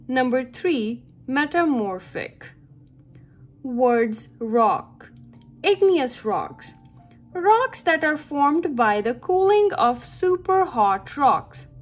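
A woman reading a children's science book aloud with careful phrasing meant for young listeners. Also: high voice. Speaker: read-aloud reader for children.

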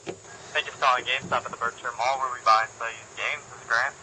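A man working as a store clerk, answering customer calls in a laconic, deadpan way, heard through a phone speaker. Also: mid-range voice, fast talker, rough recording. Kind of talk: customer service phone talk. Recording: harsh speakerphone picked up outdoors, faint noise.

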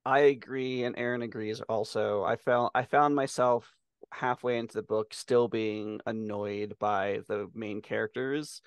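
A man's voice: on the low side, steady tone, measured pace, smooth, dry recording. A middle-aged man co-hosting a podcast, chatting casually about books and swearing freely. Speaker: podcast co-host. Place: small room on a video call.

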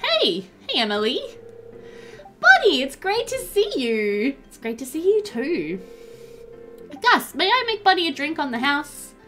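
A woman's voice: high voice, very animated, unhurried, music in the background, pitched above the performer's own voice. A woman reading out polite, friendly young village women from a farming video game in a lightly lilting way. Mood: cheery. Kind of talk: reading game dialogue aloud.

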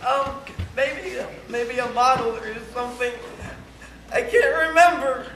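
Man playing unhinged male murderer, theatrical phone confession, very high strained pitch, slow halting stammer, noisy hall recording. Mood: agitated confession. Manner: halting, stammering, breaking into nervous laughter. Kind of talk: theatrical monologue as a one-sided phone call. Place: large room at a banquet, recorded on camcorder. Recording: echoey hall, loud noisy camcorder audio.

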